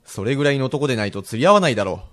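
A man playing a frank, steely Edo-era merchant husband with rough old townsman speech in a radio drama. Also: mid-range voice, sing-song, rapid-fire, close to an everyday voice. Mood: persuading. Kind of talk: period radio drama acting.

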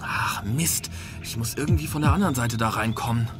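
A man's voice: medium pitch, fairly quick, music in the background, close to an everyday voice. A young male in a German radio play acting an earnest, puzzled teenage boy. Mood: startled, muttering.

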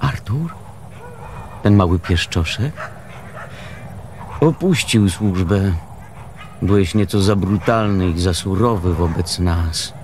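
An actor playing an aggrieved, mocking former assistant who complains chattily in a radio play. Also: male voice, low voice, takes it slowly, long pauses, a little mellow, a little hiss or hum.

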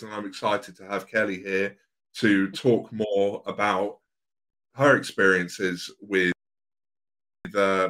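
A man's voice: fairly low pitch, a bit bright. Podcast host man in his thirties. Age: 30s. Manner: friendly, lightly hesitant with ums and uhs. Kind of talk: casual podcast interview.

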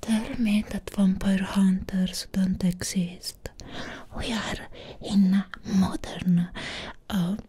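A young woman voicing a possessive, lovesick vampire ex-girlfriend in a breathy, coaxing ASMR whisper, close to the microphone. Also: medium pitch, little pitch movement, dark tone. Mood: uneasy, pleading. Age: young adult. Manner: slow, coaxing, clingy. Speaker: ASMR roleplayer.

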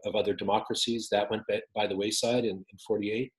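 A middle-aged man talks over a video call, stumbling through restarts and fillers as he answers. His voice sits a little low. The room around him rings with echo. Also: flat delivery. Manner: halting, many restarts and fillers. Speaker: author guest. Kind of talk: casual interview answers. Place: home room with windows. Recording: roomy echoey call audio.

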